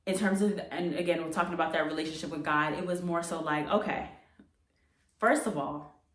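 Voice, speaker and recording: A young American woman vlogger speaks in a fairly low voice, sharing her story in a reflective, conversational way. She repeats phrases for emphasis. The sound is quite echoey, picked up some distance from the microphone. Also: fairly bright tone. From United States. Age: late 20s. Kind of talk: casual personal storytelling to camera.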